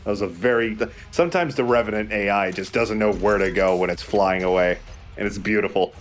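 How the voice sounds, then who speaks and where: Low-voiced man chatting over a game. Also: brisk, soft background music. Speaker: let's play commentator. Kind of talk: casual joking chat over gameplay.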